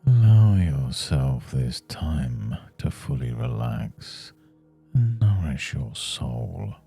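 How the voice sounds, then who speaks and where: A man guiding a scripted meditation in a soothing, measured manner with long pauses between phrases. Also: a bass voice, big pitch swings, gravelly, mellow. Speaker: meditation guide. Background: faint music.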